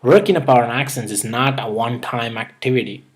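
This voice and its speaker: A man's voice: medium pitch, brisk. A young man with a mild Indian accent, hosting his own channel and reading earnest, step-by-step advice in a scripted tutorial. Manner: earnest, advice-giving, list-by-list delivery. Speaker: YouTube host.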